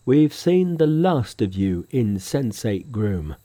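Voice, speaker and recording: A man voicing an oafish, insolent pig disciple in a storybook, grunting out drawn-out jibes. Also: medium pitch, sing-song, warm tone. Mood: jeering.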